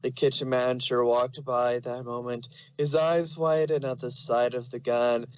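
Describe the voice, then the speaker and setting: A man's voice: medium pitch, little pitch movement, unhurried, dull audio, slight background noise. A man narrating fan fiction in an amateur dramatic reading style.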